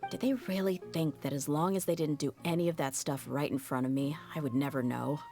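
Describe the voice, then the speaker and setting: A woman's voice: low-pitched, fairly even intonation, fairly quick, dark tone, faint music behind. A woman voicing a tough young female mercenary in a game, talking in a frank, wry, matter-of-fact way. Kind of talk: video game cutscene dialogue.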